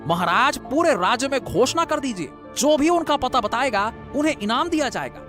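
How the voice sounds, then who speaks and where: Actor as a wily villager with swooping pitch. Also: animated, normal speed, fairly bright tone, faint music behind. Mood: fawning. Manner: obsequious, theatrical, repetitive. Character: wily, flattering male villager feigning humble concern. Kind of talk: melodramatic storybook audio drama.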